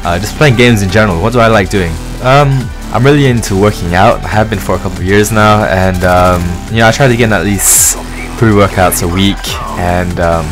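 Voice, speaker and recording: A man's voice: fairly low pitch, brisk, few pauses. A man of about twenty from London with a mixed British and American accent, talking casually as he gives a gameplay commentary. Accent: London English mixed with American. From London, England. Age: around 20. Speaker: gaming video creator. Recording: music behind the voice. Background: music.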